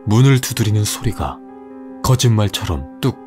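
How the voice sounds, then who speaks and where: A man narrating a scripted horror story in a measured, dramatic way, dropping to a hush at tense moments. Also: on the low side, expressive, faint music behind.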